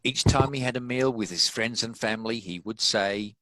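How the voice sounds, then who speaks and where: A male narrator with a New Zealand accent reads a story. His voice is fairly low yet bright and crisp-edged, recorded close and dry. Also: moderate pace, no echo, low-quality recording.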